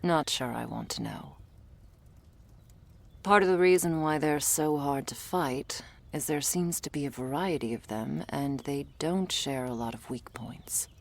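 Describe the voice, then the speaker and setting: A woman performs a battle-tired monster huntress with an extremely deep voice for a woman. It is husky and breathy, with a heavy gravelly creak. She is guarded and sardonic, giving curt orders straight to the listener in an intimate audio roleplay. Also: measured pace, exaggerated voice. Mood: instructive, wry. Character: gruff, world-weary female monster hunter, curt and guarded.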